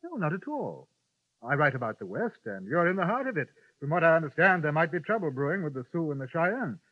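An actor playing an urbane, dryly polite English newspaper correspondent in a 1950s radio western, with an English accent. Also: male voice, a little high, very animated, fairly quick, no echo. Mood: courteous, measured. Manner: courteous, wry, understated. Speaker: lead actor and narrator. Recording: muffled vintage radio broadcast, music behind.

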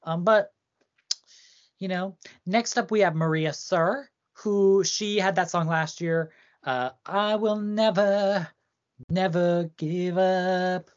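Woman, low voice, loose rambling co-host banter, muffled lo-fi sound. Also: takes it slowly. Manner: chatty, rambling, heavy use of "like". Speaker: podcast co-host. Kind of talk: casual chat about song contests.

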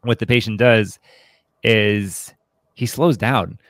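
A man's voice: on the low side, dry recording, studio quality. A man co-hosting a podcast, talking casually through a book in a rambling, searching way and sometimes voicing imagined quotes. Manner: rambling, halting, searching for words; acts out imagined quotes in conversation. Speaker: podcast co-host. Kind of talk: casual book commentary discussion.

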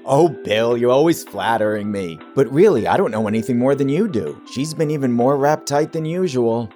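An actor playing a flirtatious, gossipy, eager office assistant with a coy, teasing manner in a campy audio drama. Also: male voice, mid-range voice, animated, normal speed, mellow, faint music behind, natural voice.

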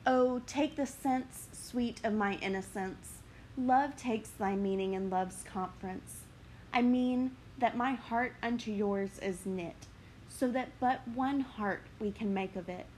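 A woman's voice: a little high, darkish tone, slight background noise, low-quality recording. An ardent young lover, read by a woman. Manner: earnest, measured verse delivery. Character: ardent young man, lovestruck and smooth-talking. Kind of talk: dramatic reading of verse.

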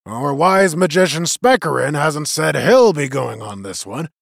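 A man's voice: a little high, lively intonation, a little slow, vocal fry, fairly bright tone, no echo, studio quality, pitched above the performer's own voice, exaggerated voice. A man doing a blunt stubborn veteran. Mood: emphatic. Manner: blunt, emphatic. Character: one-eyed male veteran, blunt and stubborn.

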